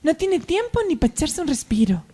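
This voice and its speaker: A woman's voice: high voice, very animated, normal speed, dark tone. A woman playing a proud, folksy mother in an amateur radio soap opera.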